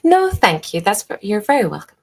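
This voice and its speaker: A woman's voice: medium pitch, very animated, brisk, pitched above the performer's own voice. A woman plays a genteel lady in a tabletop game. She speaks courteously and a little hesitantly, with old-fashioned politeness.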